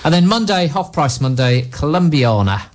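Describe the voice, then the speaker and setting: A man's voice: fairly high pitch, big pitch swings, moderate pace. Man with a cold hosting radio. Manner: chatty, reads out listings with light laughs. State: has a cold. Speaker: radio show co-host. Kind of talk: casual radio chat.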